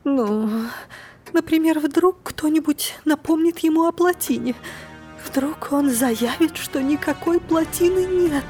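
An anxious, long-suffering aunt in an audio drama. Her voice is high and she speaks slowly, fretting and hesitating, with music faintly behind her. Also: a little mellow. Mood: worried, fretful. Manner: fretful, hesitant, sighing.

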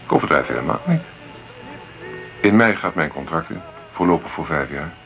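A blunt restless man in a radio play, super deep gravelly voice, music behind. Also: animated, moderate pace, fairly bright tone, full character voice. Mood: gruff, dismissive. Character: restless, blunt young man leaving for abroad. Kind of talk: Dutch radio drama acting.

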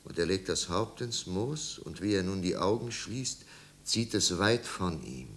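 A man narrating a 1950s German radio drama as a solemn storyteller, with grave, measured and deliberate phrasing. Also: sits low, expressive, a bit bright, slight background noise, heavily acted. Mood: hushed, solemn.

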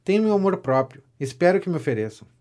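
Man acting a pompous, high-pitched Brazilian political know-it-all. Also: fast talker. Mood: self-important. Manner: pompous, declamatory, flattering. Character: vain, self-important gentleman pamphleteer, pompous political know-it-all. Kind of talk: audio drama of a 19th-century stage comedy.

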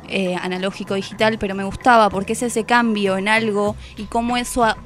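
A woman's voice: fairly high pitch, a bit bright. Reflective Argentine woman over background music. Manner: reflective, hesitant, rephrasing as she goes. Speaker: radio book columnist. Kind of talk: conversational book review. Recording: music playing under the voice.

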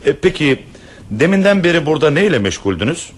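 A man's voice: a little high, big pitch swings, fast, a little hiss or hum. An actor playing a mild, puzzled middle-aged father in a radio play, hesitant and kindly. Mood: suspicious, puzzled. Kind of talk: radio theatre acting.

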